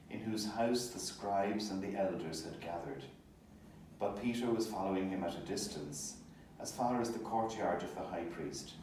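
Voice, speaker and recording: A man reading a Gospel solemnly as narrator, in a measured, formal manner, as clergy do in worship. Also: low voice, darkish tone. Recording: echoey, distant, faint background noise. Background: passing hospital noise.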